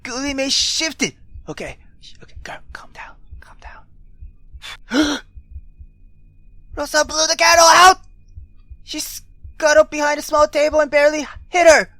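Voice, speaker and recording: A woman reading a visual novel aloud as a let's player, expressive and dramatic, dropping into hushed asides. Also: sits high, a little slow, long pauses, dry recording. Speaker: video game let's player.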